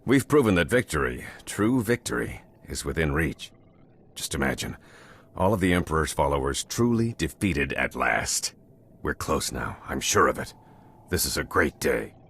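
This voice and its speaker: A deep-voiced colonel. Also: male voice, big pitch swings, fairly bright tone, breathy edge, heavily acted. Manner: brisk, gives orders. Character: commanding male Republic colonel. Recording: game audio with music.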